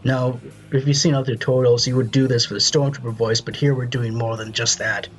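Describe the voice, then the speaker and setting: A man presenting a step-by-step software tutorial in a casual, instructional way. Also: mid-range voice, fairly even intonation, a little fast, soft background music.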